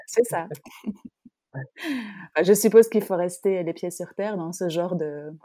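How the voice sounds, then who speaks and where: Animatrice à la voix chaude et sourde. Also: female voice, lowish voice, measured pace, no echo, studio quality. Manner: relaxed, frequent short acknowledgements. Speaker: podcast host. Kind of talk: casual interview.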